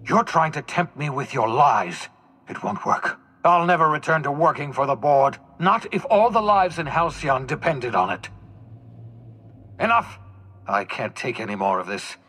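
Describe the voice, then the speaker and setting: An actor playing a despairing, defiant older fugitive scientist in a video game, theatrical and swinging from bitter to pleading. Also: male voice, a little high, lively intonation, moderate pace, bright, forceful tone, breathy edge, exaggerated voice. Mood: anguished, angry. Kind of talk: dramatic video game acting.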